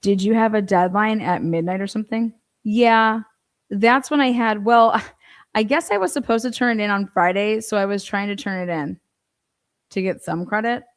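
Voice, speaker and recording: A woman's voice: mid-range voice, normal speed. A woman giving informal true-crime commentary, reading from a transcript and breaking in with pointed, skeptical asides and rhetorical questions.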